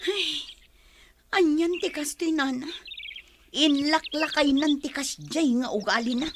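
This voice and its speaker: A woman's voice: sits high, a little slow, noisy background. A woman acting an exasperated, hardworking wife and mother in a radio drama, nagging with an Ilocano Filipino accent. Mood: weary, complaining. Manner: nagging, emphatic. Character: exasperated hardworking wife and mother scolding her lazy husband.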